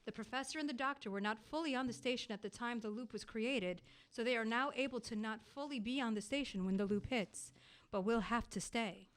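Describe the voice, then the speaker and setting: Woman playing a solemn, higher-voiced female scientist. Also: brisk, lightly acted. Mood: explanatory. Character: solemn female time-researching scientist doctor. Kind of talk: radio-play acting.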